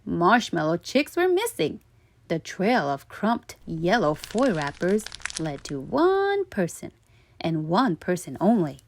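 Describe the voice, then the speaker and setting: A woman storyteller narrating a children's picture book in an expressive, deliberate way. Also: on the low side, slight background noise. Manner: animated, deliberate. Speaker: storyteller, host of a children's read-aloud show.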